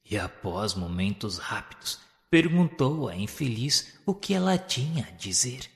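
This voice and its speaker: A man giving a solemn, measured narration of a spiritual novel in a radio drama. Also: mid-range voice, very animated, creaky, long reverb tail. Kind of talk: scripted literary narration.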